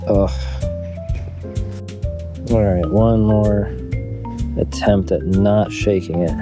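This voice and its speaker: A man with a cold, filmed on a phone outdoors at dusk, muttering as he explains his camera settings. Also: low voice. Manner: muttering, thinking aloud. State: congested from a cold. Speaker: photography vlogger.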